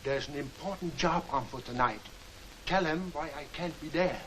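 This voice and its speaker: An actor playing a blunt, rough-talking gangster henchman in a 1940s film serial. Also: on the high side, normal speed, a bit bright, slight background noise, natural voice, low-quality recording. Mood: urgent, conspiratorial.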